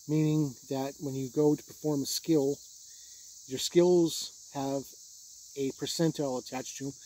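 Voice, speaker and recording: A man's voice: medium pitch, dark tone, poor audio. A man giving an informal, unscripted review in a plain-spoken, matter-of-fact way. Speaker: tabletop game reviewer.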